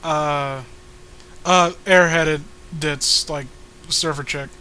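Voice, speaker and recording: A man's voice: a little high, fairly even intonation, drawn-out words, bright, forceful tone, smooth. A man giving casual, joking commentary as co-host of a comic reading.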